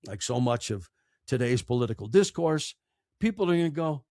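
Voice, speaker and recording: A man's voice: medium pitch, big pitch swings, moderate pace, darkish tone, no echo, studio quality. A man speaking as a podcast guest in a conversational, storytelling way, emphatic, with rhetorical questions and repeated phrases. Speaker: podcast guest, broadcaster and audiobook narrator.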